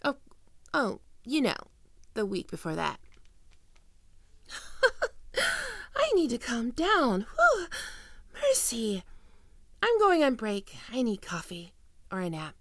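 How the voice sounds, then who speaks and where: A young woman playing a high-energy, teasing fox-woman librarian in an expressive roleplay performance addressed to the listener. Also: slow, long pauses, dry recording, exaggerated voice. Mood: flustered, apologetic.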